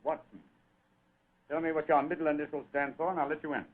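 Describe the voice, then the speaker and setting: An actor playing a wary gentleman detective with a clipped manner, in old-time radio style. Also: fairly high pitch, steady tone, fast, a little hiss or hum, lightly acted. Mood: suspicious. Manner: suspicious, clipped. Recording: tinny, band-limited old radio recording.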